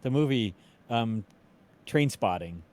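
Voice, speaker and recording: A man hosting a casual livestream chat in a halting way, often repeating words as he searches for the next. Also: mid-range voice, lively intonation, darkish tone. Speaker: host.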